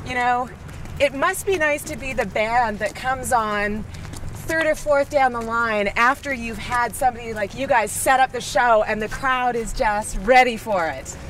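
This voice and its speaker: A woman's voice: fairly high pitch, a little slow, fairly bright tone. A woman interviewing in a casual, chatty way. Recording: off-mic, faint street noise.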